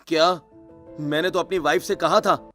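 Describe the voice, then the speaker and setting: A man's voice: on the high side, steady tone, normal speed, a bit bright, faint music behind, natural voice. A man voicing a stern young husband in a cartoon story, firm and accusing. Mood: alarmed. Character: stern, angry young husband scolding his wife.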